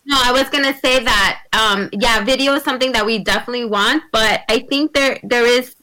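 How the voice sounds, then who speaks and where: A woman's voice: on the high side, brisk, sharp tone, no echo, rough recording. A woman podcast co-host talking over a video call, upbeat and rambling with many likes. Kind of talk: casual remote interview chat.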